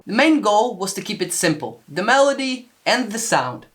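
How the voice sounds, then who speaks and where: Man with medium-high voice, music producer. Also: big pitch swings, normal speed, fairly bright tone. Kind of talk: casual track breakdown tutorial. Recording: music playing behind the voice.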